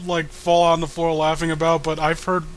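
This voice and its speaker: A man's voice: a little high, monotone, normal speed, slight background noise. A man co-hosting a mocking read-along of a comic, with crude, sardonic casual commentary. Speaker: co-host reading a comic aloud.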